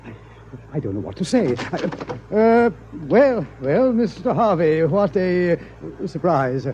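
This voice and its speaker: An actor playing a polite, flustered scientist in charge of an observatory, hesitant and stammering, in a radio comedy. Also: male voice, fairly high pitch, big pitch swings, slow pace, warm tone, exaggerated voice. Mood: flustered, apologetic. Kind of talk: mid-century radio comedy acting. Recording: dull, hissy old radio recording.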